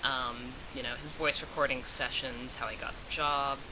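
A woman's voice: extremely low pitch, steady tone, normal speed. A young woman giving a casual vlog recap, speaking as if to friends from a room at home. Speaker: vlogger recounting a fan convention. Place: small room at home, indoors. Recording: noisy home recording with hiss.

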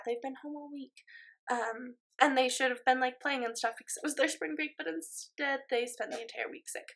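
Young woman, stuffy nose, vocal fry, chatty rambling voice-over, lots of ums. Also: sits high, fairly bright tone. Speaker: Sims speed-build YouTuber. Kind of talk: casual voice-over commentary.

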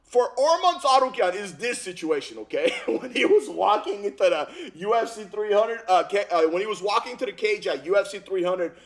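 A man's voice: high-pitched, a little fast, few pauses, crowd noise. A man in his thirties, originally from Armenia, talking casually about fight news in a slangy, repetitive way from a home gaming room on a headset mic. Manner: animated, slangy, repeats himself, frequent "bro" and "okay?". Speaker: YouTube commentator. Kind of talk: casual reaction commentary.

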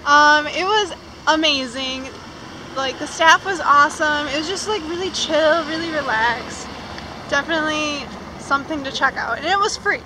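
A woman's voice: sits high, normal speed, background noise. A young woman co-hosting a casual travel vlog, bubbly and laid-back, filmed on a handheld camera. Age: young adult. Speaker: travel vlogger. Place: outdoors on city streets and in a car. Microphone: camera held at arm's length.

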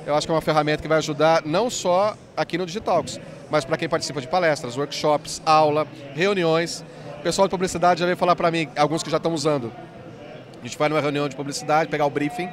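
A man in his forties, an app company founder, explaining his product eagerly in an interview at a trade fair, speaking into a handheld mic. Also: a little high. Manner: fast, enthusiastic explainer with many "né"s. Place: busy indoor expo hall.

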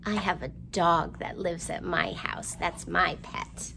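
A woman's voice: on the low side, fairly even intonation, slow pace, gravelly, background noise. A middle-aged woman hosting a children's storytime indoors, talking simply to young children with questions and exaggerated delivery. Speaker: children's librarian, storytime host. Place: indoor room, seen at a storytime backdrop.

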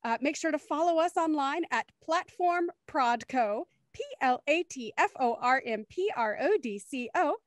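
A woman's voice: high voice, expressive, normal speed, few pauses, no echo, studio quality. A young woman hosting a casual after-show chat on a group video call. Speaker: host of a podcast after-show.

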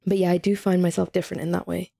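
Guest, woman, 22, from England; chatty and laughing, low mellow voice with vocal fry. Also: flat delivery, rapid-fire. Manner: chatty, laughs often, many "like" fillers. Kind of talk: casual podcast chat.

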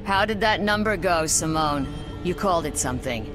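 Actress as a calm soldier over music. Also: female voice, on the low side, normal speed, lightly acted. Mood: soothing. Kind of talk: dramatic video game acting.

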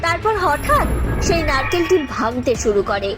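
A woman's voice: high voice, big pitch swings, moderate pace. A woman reading scripted narration for a cartoon story. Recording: music under the voice.

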